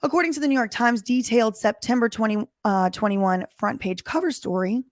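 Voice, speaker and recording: A woman lawyer with a hoarse, weakened voice, reading court papers aloud and explaining them emphatically in plain terms. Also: fairly high pitch, fairly quick, no room sound, clean studio sound. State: recovering from lost voice. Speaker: lawyer commentator. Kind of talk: reading and commenting on court documents.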